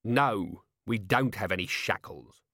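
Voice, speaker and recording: A man's voice: fairly low pitch, a little slow, bright, forceful tone, no echo, clean studio sound, heavily acted. A blunt, world-weary police commander acted by a man grumbles in short, curt replies. He sounds irritable, a tired copper from a comic fantasy audiobook. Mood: curt, irritated.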